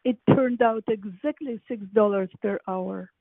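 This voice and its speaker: A woman in a phone conversation with deliberate, formal phrasing, heard over an echoey, narrow phone line. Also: mid-range voice, vocal fry. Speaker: prank call recipient.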